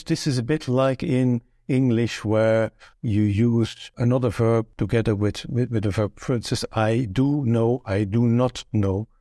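A man's voice: mid-range voice, mellow, no echo, studio quality. An older man from Belgium whose first language is Dutch, recalling his career thoughtfully as an interview guest with frequent restarts. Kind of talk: relaxed conversational interview.

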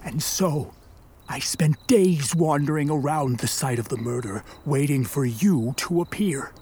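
An actor in a radio play voicing an embittered, vengeful created monster, with a husky voice and grandiose, formal delivery. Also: medium pitch, darkish tone, slight background noise, close to an everyday voice. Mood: grim, vengeful. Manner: grandiose, theatrical, deliberate delivery. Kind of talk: radio play acting, formal archaic speech.

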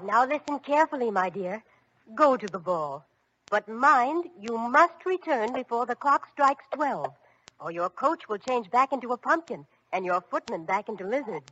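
A woman's voice: fairly high pitch, animated, normal speed, pushed and bright. A woman playing a kindly, commanding fairy godmother in an old-time radio children's play, reassuring and theatrical as she gives instructions. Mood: gently warning. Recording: muffled, noisy vintage radio recording.

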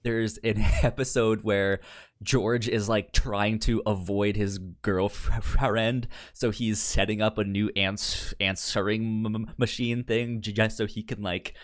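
A man's voice: lowish voice, fairly even intonation, slow pace, dry recording, clean studio sound. A man chatting casually as a podcast co-host, stammering and repeating sounds and words. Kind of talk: casual chat about television.

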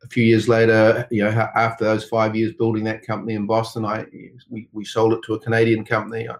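A middle-aged man with a New Zealand accent answering interview questions in a halting, rambling way full of "you know"s. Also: lowish voice, monotone. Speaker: interview guest, tech company co-founder.

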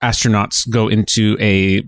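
A man co-hosting a podcast in relaxed, conversational back-and-forth. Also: fairly low pitch, unhurried, clear, resonant tone, no echo, clean studio sound. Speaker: podcast co-host. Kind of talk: casual podcast chat.

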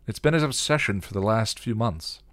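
A man playing an earnest, sober male mentor in a dramatic story reading, with measured, formal phrasing. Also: on the low side, expressive, a bit bright, no room sound, pitched above the performer's own voice. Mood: grave.

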